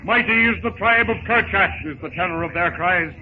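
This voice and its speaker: A man's voice: sits high, normal speed. Theatrical male narrator, noisy tinny old radio. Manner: florid, theatrical. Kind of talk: dramatic scripted 1930s radio serial narration.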